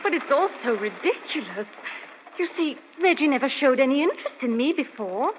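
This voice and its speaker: A woman's voice: high voice, lively intonation, normal speed. An actress playing an earnest, anxious young lady in an old-time radio drama, genteel and flustered. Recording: muffled, noisy old radio recording.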